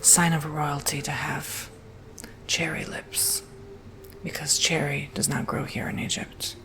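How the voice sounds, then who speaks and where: A man whispering softly and gently through an ASMR roleplay, as if doing someone's makeup. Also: fairly high pitch, level tone, music in the background. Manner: soft whispering, slow and gentle.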